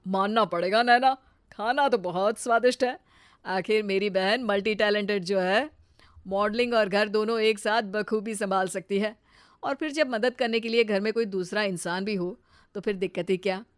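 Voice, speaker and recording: A woman's voice: medium pitch, moderate pace, no room sound, studio quality, close to an everyday voice. A woman voicing a proud, affectionate older brother, approving and indulgent in manner. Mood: proud, fond.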